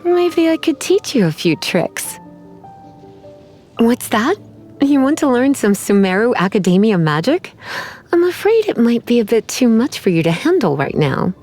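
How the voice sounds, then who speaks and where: Sultry, teasing sorceress, lilting voice. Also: female voice, a little high, sing-song, a little mellow, soft background music. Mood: teasing, musing. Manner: sultry, teasing, unhurried, coy. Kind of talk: video game character voice lines.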